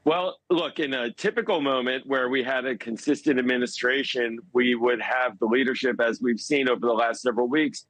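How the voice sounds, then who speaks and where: A man with a mid-pitched voice works through analysis as a television news guest. He is measured and halting, leaning on key words for emphasis. The sound is dry, with very little room in it. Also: a bit bright, dry recording, poor audio. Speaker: foreign policy commentator, news guest. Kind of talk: live news interview analysis.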